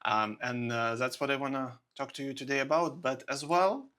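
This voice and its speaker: A man hosts a podcast in loose, rambling and laughing as he goes. His voice is bright and a little forceful, set at an ordinary mid pitch. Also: moderate pace. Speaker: podcast host. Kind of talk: podcast interview.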